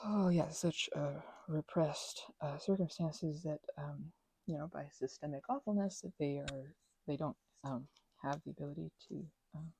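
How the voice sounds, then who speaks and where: A woman's voice: sits low, normal speed, vocal fry, husky, dry recording, rough recording. A young woman chatting casually while she crafts, rambling with sarcastic asides, recorded close on a microphone. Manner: rambling, animated, with mocking put-on voices and sarcasm. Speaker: ASMR channel host.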